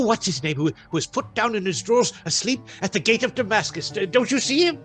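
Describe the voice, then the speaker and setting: Radio drama role: excitable, stammering old miser played by a woman, high-pitched, theatrical, with music underneath. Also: close to an everyday voice. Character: excitable old miser softening as he relives boyhood. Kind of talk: theatrical radio play acting.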